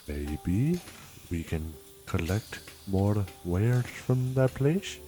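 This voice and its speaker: A man's voice: on the low side, big pitch swings, very slow, mellow, full character voice. A man playing an obsequious, scheming underling in a dramatic fan dub, formal and deferential in manner. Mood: nervous, servile. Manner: servile, formal, smooth-talking.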